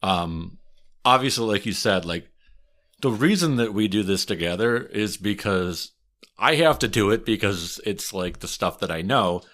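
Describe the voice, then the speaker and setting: Low-voiced Wisconsin man, hesitant. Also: lively intonation, unhurried, a bit bright. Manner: thoughtful, hesitant, with ums and restarts. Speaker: podcast host and historian. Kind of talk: casual chat.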